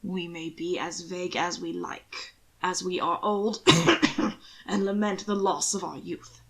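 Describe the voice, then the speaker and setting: A woman plays an aged aristocratic grandfather in an audiobook romance, weighed down by grief. The voice is low and very creaky, with slow, formal, wistful phrasing. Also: fairly even intonation, heavily acted. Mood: somber, wistful. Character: old, somber, grieving English duke, a grandfather.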